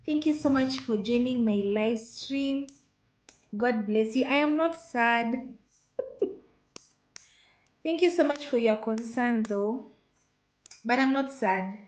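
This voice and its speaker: Slow-talking Kenyan woman on a live stream. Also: on the high side, darkish tone. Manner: slow, repeats phrases, reads out viewer comments. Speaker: livestream host. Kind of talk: casual live stream chat with viewers.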